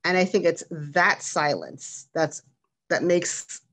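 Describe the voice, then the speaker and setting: Lower-pitched middle-aged woman, reflective. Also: slow pace, fairly bright tone. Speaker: nurse. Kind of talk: reflective panel discussion. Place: plain room indoors. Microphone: computer mic on a video call.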